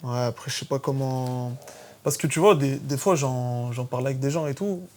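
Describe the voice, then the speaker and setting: A man hosts a casual studio podcast at a medium pitch. He talks in a relaxed way and now and then stops to explain terms for the listeners. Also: moderate pace. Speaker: podcast host.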